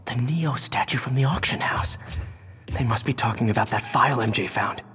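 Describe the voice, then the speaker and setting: A man's voice: medium pitch, moderate pace, fairly bright tone, soft background music, natural voice. An actor playing a quippy, earnest young male superhero who talks to himself in wry asides.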